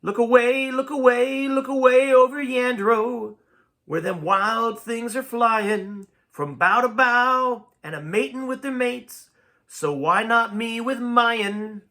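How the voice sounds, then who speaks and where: A man's voice: very high-pitched, slow pace, bright, forceful tone, voice pushed up, heavily acted. A man singing as an eerie, unseen singer of an old mountain song, unaccompanied. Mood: eerie, lilting. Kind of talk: slow unaccompanied folk singing.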